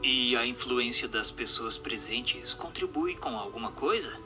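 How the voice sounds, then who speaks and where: A man with a Brazilian accent giving a formal, measured reading of a scripted radio drama. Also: medium pitch, expressive, music in the background. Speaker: reader of a spiritist radio drama.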